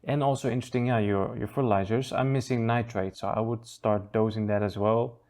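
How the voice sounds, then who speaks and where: A man's voice: on the low side, fairly even intonation, brisk, a little mellow. A man hosting a casual review show, easygoing and chuckling often as he trails off and restarts sentences. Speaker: aquascaping channel host.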